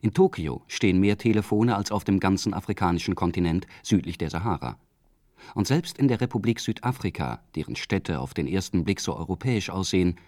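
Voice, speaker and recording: A man reading a scripted radio documentary in a calm, measured way. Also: deep voice, no echo, clean studio sound. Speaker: radio feature narrator.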